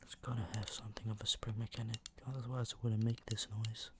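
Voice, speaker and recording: Clear background noise and small clicks sit behind a man's hushed voice. He talks in a lowish, gravelly murmur that often thins into a whisper. His throat is sore, and he speaks casually in an ASMR style as he shows off items. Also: flat delivery, fast talker. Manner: hushed, slips between soft murmur and whisper. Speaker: ASMR video creator. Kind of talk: ASMR, soft casual product show-and-tell.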